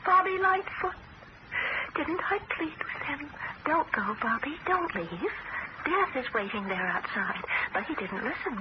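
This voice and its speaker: A frightened young woman who won't leave her house, her voice breathy and haunted, slipping between dreamy calm and dread. It sounds like a muffled old radio broadcast with heavy hiss. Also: high voice, exaggerated voice. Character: fragile, frightened young woman obsessed with death. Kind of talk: 1970s radio drama acting.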